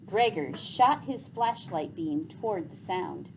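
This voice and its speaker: A woman reads aloud as a teacher, with very lively intonation. Her pace is a little slow and her phrasing explanatory, over faint room noise. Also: on the low side, rough recording. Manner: clear explanatory phrasing, repeats pupils' answers. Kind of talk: expressive read-aloud with class questions. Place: classroom. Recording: faint classroom background noise.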